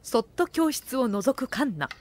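A woman's voice: fairly high pitch, very animated, moderate pace, a little hiss or hum. A woman narrating a comic audio drama from a script. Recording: music behind the voice.

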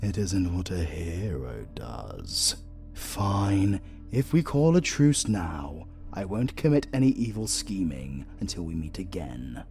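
A man's voice: low voice, big pitch swings, creaky, darkish tone, soft background music, heavily acted. A British man playing a flirtatious, sardonic supervillain in a romantic comedy audio roleplay, drawling and teasing theatrically. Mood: sly, bargaining. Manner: slow, drawling, teasing, dramatic.

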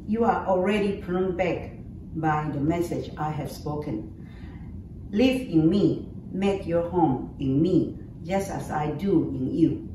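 An older woman, a native Taiwanese speaker, reading scripture aloud slowly and carefully from a sheet of paper. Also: deep voice. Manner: slow, measured reading from paper. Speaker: scripture reader. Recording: echoey, distant mic with faint hiss.

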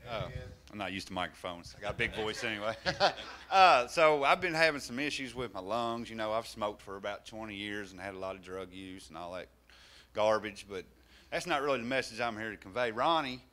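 A man's voice: on the low side, a little fast, bright, forceful tone. Earnest young man testifying. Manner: nervous, then earnest exhorting. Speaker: congregant giving testimony. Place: small church sanctuary. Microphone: handheld mic.

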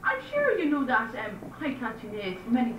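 A woman's voice: fairly high pitch, wildly swooping pitch, moderate pace, a bit bright, slight background noise. A woman playing a committee secretary who makes dry, teasing wisecracks in an amateur pub comedy sketch. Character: committee secretary reading out perks. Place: crowded pub. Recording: muffled, echoey, from far off.

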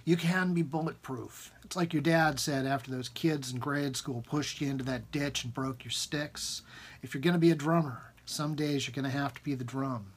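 A man in his forties with a husky voice reads his own fiction aloud informally and expressively, with emphatic rhythm and dramatic pauses, at a desk in a room at home. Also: mid-range voice. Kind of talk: expressive literary reading, informal. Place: small book-lined room at home, indoors.

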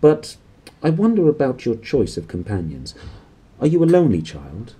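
A man's voice: mid-range voice, wildly swooping pitch, moderate pace, mellow, breathy edge, pitched above the performer's own voice, full character voice. Man playing a genteel elderly clergyman, lilting. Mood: kindly, probing. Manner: genteel, paternal, questioning. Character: plump elderly clergyman, kindly, gently probing.

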